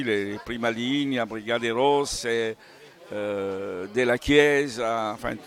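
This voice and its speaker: Halting male novelist in conversation. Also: mid-range voice, fairly bright tone. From Italian family background. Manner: halting, searching for words, digressive. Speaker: novelist presenting his books. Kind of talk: unscripted interview about own novels. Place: book festival.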